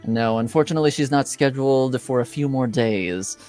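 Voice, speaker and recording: A man narrating a tabletop roleplaying game as game master, in a halting, deliberate, wordy way. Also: medium pitch, darkish tone, soft background music.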